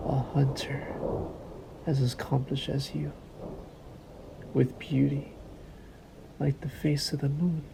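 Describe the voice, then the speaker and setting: A man's voice: medium pitch, little pitch movement, slow, vocal fry, pauses often, noisy background. A man playing a centuries-old vampire in a roleplay audio drama, sadistic and possessive, with formal, silky menace and condescending endearments. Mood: amused, possessive.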